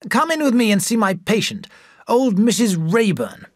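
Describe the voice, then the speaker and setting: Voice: medium pitch, big pitch swings, moderate pace, no room sound, studio quality, pitched above the performer's own voice. A narrator voicing a scheming society doctor in an audiobook, coaxing and conspiratorial. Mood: persuasive. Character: scheming male society doctor, smooth and persuasive. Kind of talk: audiobook character voice.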